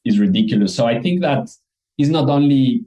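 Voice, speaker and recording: A man's voice: medium pitch, lively intonation, a little fast, dark tone, lo-fi sound. A man from Ecuador with an Ecuadorian accent, a company co-founder answering interview questions in an earnest, reflective way. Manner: earnest, reflective, frequent restarts.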